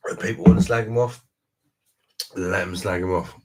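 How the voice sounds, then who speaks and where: A middle-aged man talks in a very deep, low register. He hosts a relaxed livestream, chatting with viewers in a casual, good-humoured way, laughing often and swearing freely. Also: moderate pace. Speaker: beer review livestream host. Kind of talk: casual live chat reading viewer comments.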